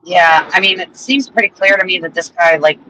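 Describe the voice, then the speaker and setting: A man co-hosting a relaxed live chat, talking loosely and hedging his points. His voice is high and bright, with a faint hum of noise in the background. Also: normal speed, rough recording. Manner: casual, hedging, says "like" a lot. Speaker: co-host commenting on trial.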